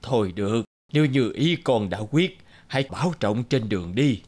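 A man's voice: mid-range voice, animated, moderate pace, heavy background noise, natural voice. A man voicing a caring, solemn middle-aged king and father in a fairy-tale cartoon. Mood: sorrowful. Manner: solemn, emotive.

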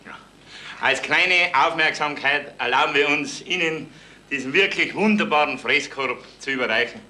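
A man's voice: a little high, moderate pace, pushed and bright. A man acting a dutiful, formal colleague in a 1970s German radio play. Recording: roomy, noisy, distant vintage recording.

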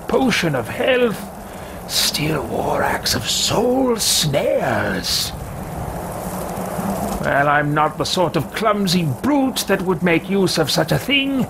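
A man's voice: high-pitched, vocal fry, noisy background, exaggerated voice. A man voicing a lone, bitter old wizard in video game roleplay, haughty and scornful, with pompous, theatrical muttering.

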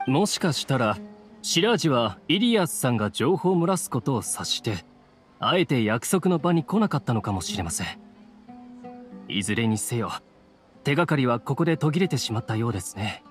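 Animated, dutiful guard officer played by a man. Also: medium pitch, faint music behind, natural voice. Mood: dutiful, respectful. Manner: formal, polite, brisk. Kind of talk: Japanese anime game voice acting.

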